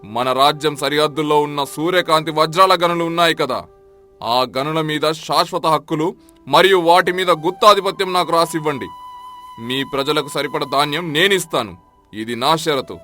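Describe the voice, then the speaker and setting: A man's voice: on the high side, normal speed, bright, forceful tone, soft background music. A man playing an arrogant, scheming grain merchant in a folk-tale drama, haughty and mock-polite as he makes threats. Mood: haughty bargaining.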